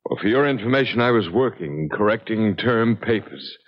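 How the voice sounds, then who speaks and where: Deep-voiced, weary middle-aged father. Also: lively intonation, moderate pace. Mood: weary, irritable. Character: weary middle-aged father, teacher, testy then protective. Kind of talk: 1970s radio drama acting. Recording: dull old radio broadcast.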